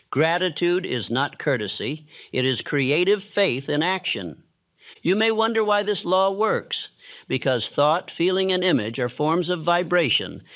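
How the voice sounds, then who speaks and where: A man giving a calm, steady, measured narration in the solemn manner of a motivational sleep audiobook. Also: fairly high pitch, no room sound, highs cut off.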